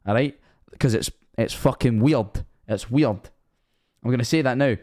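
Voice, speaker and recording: A man's voice: mid-range voice, normal speed, warm tone. A Scottish man of 21 streaming casually, rambling and self-mocking, swearing often.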